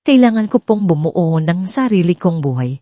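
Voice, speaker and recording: Gentle, low-voiced young housemaid voiced by a woman. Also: very animated, moderate pace, dry recording. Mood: earnest, resolute. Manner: polite, earnest. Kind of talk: audio storybook drama.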